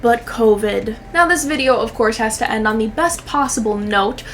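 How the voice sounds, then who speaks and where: Higher pitched woman doing sweary sarcastic reaction commentary, bit of background noise. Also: moderate pace, fairly bright tone. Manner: sarcastic, hyperbolic, swears freely. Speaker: YouTube commentary host. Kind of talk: casual comedic reaction commentary.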